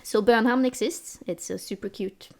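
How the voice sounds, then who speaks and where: A woman's voice: a little high, moderate pace, gravelly, a little hiss or hum. A young woman from Sweden running a tabletop role-playing game as game master, chatting casually with frequent laughs and restarts.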